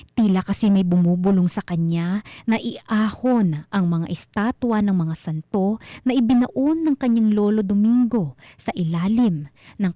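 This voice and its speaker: Female Filipino narrator for suspense tales: unhurried, measured delivery, dry studio sound. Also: medium pitch, warm tone, no echo. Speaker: host and storyteller. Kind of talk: scripted narration of mystery stories.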